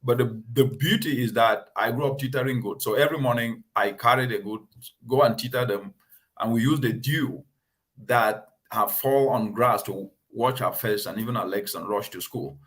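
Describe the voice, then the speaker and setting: A man hosting a talk show, speaking in an earnest, emphatic and measured way. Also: mid-range voice, fairly bright tone. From Cameroon. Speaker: host.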